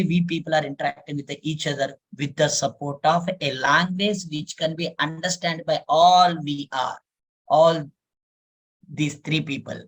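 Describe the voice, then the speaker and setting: A man with an Indian English accent, a native Telugu speaker, giving a live online software lesson, repeating phrases and using many fillers. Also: fairly high pitch, rough recording. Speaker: software trainer.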